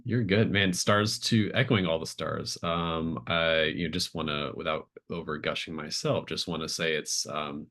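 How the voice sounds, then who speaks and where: Guy game master with a low voice, just chatting casually after the game. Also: steady tone, normal speed, hardly any pauses, fairly bright tone. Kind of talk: casual post-game chat.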